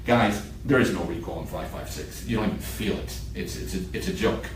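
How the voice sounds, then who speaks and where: A man's voice: low voice, brisk. A man from Israel, a native Hebrew speaker, lecturing a class as a military shooting instructor, emphatic and repeating key points in short sentences. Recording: echoey room, distant mic, background noise.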